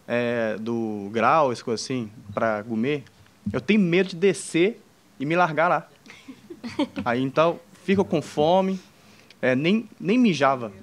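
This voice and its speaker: A young man from China with a Chinese accent, speaking Portuguese as a second language, telling an informal personal story to an audience in a halting way, with many restarts, while joking with the listeners. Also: on the high side. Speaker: entrepreneur speaking on a panel.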